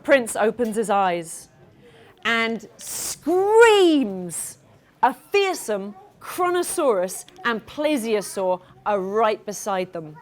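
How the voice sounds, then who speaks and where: Female author reading to kids: playful, expressive, high voice with big swoops. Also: very slow. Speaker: children's author reading aloud. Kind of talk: animated storytelling to a live audience of children.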